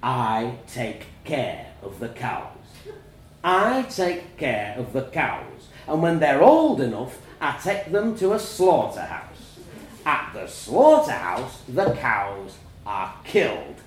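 A man voicing a gruff, blunt farmer father in a told story, booming and emphatic. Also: big pitch swings, slow pace, roomy sound, a little hiss or hum. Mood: stern, blunt.

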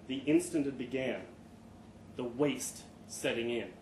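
A man reading a short story aloud at a live event, in an expressive, dramatic storytelling style. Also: mid-range voice, measured pace. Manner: expressive, acts out dialogue. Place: live literary event in an indoor venue. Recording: echoey room, live event, background noise.

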